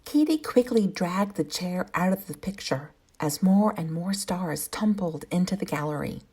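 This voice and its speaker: Animated, husky woman reading a storybook. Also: on the low side, moderate pace. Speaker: kindergarten teacher. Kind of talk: expressive storybook reading for young children.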